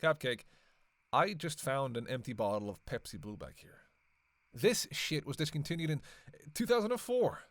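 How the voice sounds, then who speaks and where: A sardonic male vampire, played by a man in an audio roleplay, recorded close and dry. He talks in a casual, flirty, sarcastic way, needling his listener with sweary banter in a mid-pitched voice. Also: big pitch swings, moderate pace, no room sound. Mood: teasing. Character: sardonic, flirtatious, long-lived male vampire killer, playfully teasing. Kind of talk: casual roleplay audio acting, one side of a dialogue.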